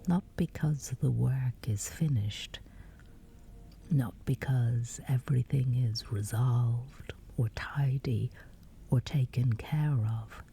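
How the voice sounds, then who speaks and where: A woman guiding a sleep meditation in a breathy, whispery voice, soothing and measured with long pauses. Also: a bass voice, dark tone, a little hiss or hum. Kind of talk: guided sleep meditation, scripted.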